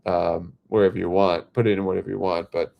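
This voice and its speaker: Deep-voiced congested man rambling on a livestream. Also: little pitch movement, gravelly, muffled recording. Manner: rambling, halting, many fillers and restarts. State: congested, nasal from a head cold. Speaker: livestream host. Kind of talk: casual livestream chat.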